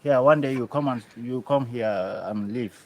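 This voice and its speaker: A man's voice: mid-range voice, moderate pace. A man from Pakistan whose first language is Urdu, talking outdoors on a building site in broken English mixed with Spanish, in an emphatic way. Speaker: worker talking to a vlogger. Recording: faint outdoor noise.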